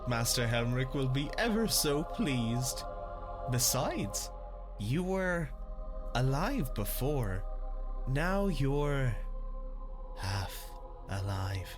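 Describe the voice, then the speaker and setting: Creepy castle physician, slow deliberate speech, unctuous, morbidly fascinated, dark fantasy drama, music behind. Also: male voice, mid-range voice, very animated. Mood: sly fascination. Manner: unctuous, deliberate, chuckling menace.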